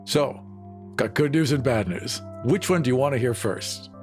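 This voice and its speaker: A man's voice: mid-range voice, normal speed, a little mellow, soft background music, natural voice. A man playing a glib, persuasive colony boss in a tabletop game, assured and folksy like a salesman. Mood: pitching. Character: glib, persuasive male colony boss making big promises. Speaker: player character in a tabletop game.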